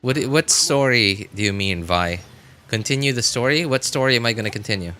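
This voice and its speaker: A man's voice: mid-range voice, a little fast, bright, forceful tone, soft background music. A man livestreaming games, chatting casually with viewers in a rambling, hesitant way, often backtracking and hedging. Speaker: game livestreamer.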